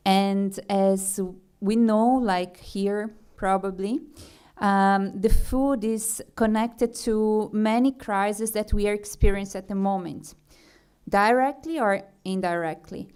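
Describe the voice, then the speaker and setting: Slow-speaking Brazilian woman giving a talk. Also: mid-range voice, smooth. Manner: hesitant, many fillers and restarts. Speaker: conference speaker. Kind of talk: forum talk.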